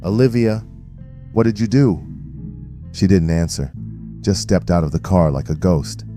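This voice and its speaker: Man acting a grave watchful crew member, very low pitch, dark tone, deliberate first-person drama narration, faint music. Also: animated. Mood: uneasy, tense.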